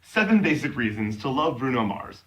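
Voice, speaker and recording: A man's voice: medium pitch, big pitch swings, moderate pace, distant mic, slight background noise, rough recording. A man giving a mock-serious dramatic reading of clumsily written text for comedy. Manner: tends to break into giggles.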